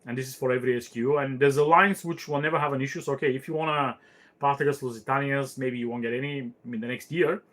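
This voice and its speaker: A man's voice: medium pitch, steady tone, quick pace, fairly bright tone. Meandering, thoughtful podcast host, man. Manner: thoughtful, meandering, with frequent fillers and restarts. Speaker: podcast host answering listener questions. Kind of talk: casual chat.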